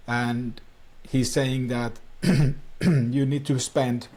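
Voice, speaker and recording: A man's voice: mid-range voice, little pitch movement, drawn-out words, a little mellow. A man from Finland, a native Finnish speaker, coaching viewers on online marketing in an earnest talking-head explainer with plain, slightly non-native phrasing.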